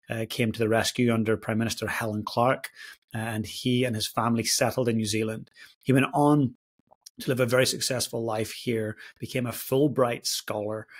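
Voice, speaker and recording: A man's voice: mid-range voice, breathy edge. A man from Northern Ireland hosting a podcast, introducing and questioning his guest earnestly and enthusiastically. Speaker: podcast host. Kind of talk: podcast interview.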